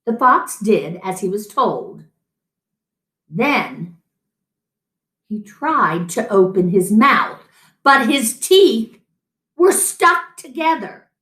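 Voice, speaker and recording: Expressive middle-aged woman reading a picture book. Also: a little high, extremely slow, long pauses. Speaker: story time host. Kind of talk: animated children's picture-book read-aloud. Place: small room at home, indoors. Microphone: camera mic a few feet away. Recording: roomy echo.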